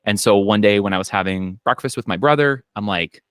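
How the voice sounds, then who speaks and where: Thirties male accountant, Minnesotan accent, deep voice, dry sound, relaxed chatty storyteller. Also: a little fast, smooth, no room sound. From Minnesota, US Midwest. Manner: relaxed storytelling with frequent fillers and quoted asides. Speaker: accountant and business owner. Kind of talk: casual podcast conversation. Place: home office. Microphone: video call.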